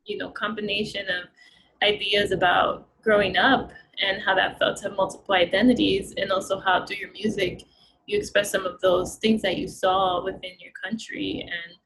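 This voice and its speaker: Courteous woman interviewer, slightly husky voice, online call. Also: mid-range voice, flat delivery, normal speed, a bit bright, rough recording. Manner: polite, appreciative. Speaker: interviewer host. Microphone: video call.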